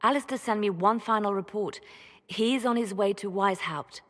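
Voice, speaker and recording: A woman's voice: mid-range voice, moderate pace, a bit bright, in a large hall, lightly acted. An actress playing a reserved, watchful woman spymaster in a game cutscene, speaking carefully and formally. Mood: grave, businesslike. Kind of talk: dramatic game cutscene dialogue.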